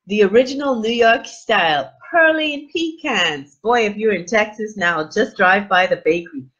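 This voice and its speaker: A middle-aged woman chatting live to her viewers on a webcam, gushing and animated with lots of oohs and fillers. Her voice has a slightly husky edge, and the audio sounds dull and lo-fi, as from a desk camera in a small room. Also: mid-range voice. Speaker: livestream host. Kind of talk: casual live unboxing chat. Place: small indoor room with plain wall. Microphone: webcam at desk distance.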